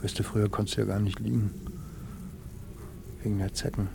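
An actor playing an easygoing, reassuring village man who talks casually and haltingly in a naturalistic radio play. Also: on the low side, little pitch movement, vocal fry, noisy background.

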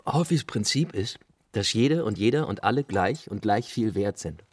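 An actor playing a playful, idealistic bohemian man in a radio play, with a husky voice and teasing, clipped phrases. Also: on the low side, animated, moderate pace. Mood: earnest, sly. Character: Henry, bohemian male squatter friend, playful and idealistic. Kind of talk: German radio play dialogue.

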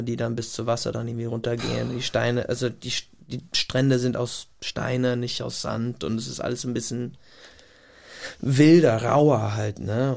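Gravelly-voiced American man as a studio guest, talking in a non-native language with pauses and restarts. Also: mid-range voice, darkish tone. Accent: American English. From Puget Sound region, USA. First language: not German. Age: adult, past university. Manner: pauses to think, restarts phrases, laughs. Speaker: radio guest. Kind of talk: casual interview talk. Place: radio studio. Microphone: studio microphone.

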